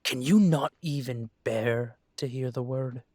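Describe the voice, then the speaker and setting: A man voicing a young adult werewolf in an audio drama, earnest and proud, his words slow and unhurried. The close, dry sound keeps his mid-range voice right up front. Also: mid-range voice, darkish tone, no echo. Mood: insistent. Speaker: audio drama creator and lead voice.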